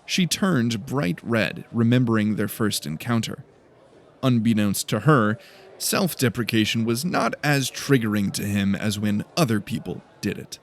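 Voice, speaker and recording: A man's voice: lowish voice, measured pace. A man reading scripted story narration as the narrator of an audiobook.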